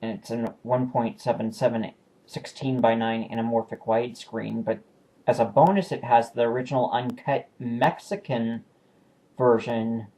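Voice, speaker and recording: A man talks in an easygoing, unscripted way about his collection of discs. His voice sits at an ordinary middle pitch. He pauses often and corrects himself mid-sentence. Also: fairly even intonation, mellow, rough recording. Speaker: video collector showing new purchases. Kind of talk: casual home video review.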